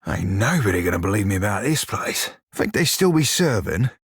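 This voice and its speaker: An actor voicing a wry, foul-mouthed pirate shiphunter in a video game, speaking a colloquial sailor's dialect with a gritty, husky voice. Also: male voice, on the low side, big pitch swings, brisk, no room sound, clean studio sound, heavily acted. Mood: wry, casual. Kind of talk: video game character dialogue.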